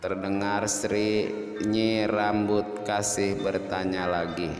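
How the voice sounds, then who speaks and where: A man narrating a folktale dramatically, in a literary, solemn, measured manner. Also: fairly low pitch. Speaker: storyteller. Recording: echoey long reverb, music behind. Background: music.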